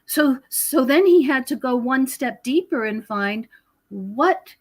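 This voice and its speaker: A woman's voice: high voice, lively intonation, takes it slowly. An American woman speaking conversationally as a podcast guest, explaining her ideas with emphasis. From United States. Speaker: podcast guest, researcher of prophecy and UFOs.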